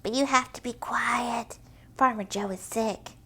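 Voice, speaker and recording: A woman's voice: sits high, lively intonation, unhurried, gravelly, voice pushed up, heavily acted. A woman reading aloud and voicing a fussy, nervous young turkey in a children's storybook. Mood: anxious.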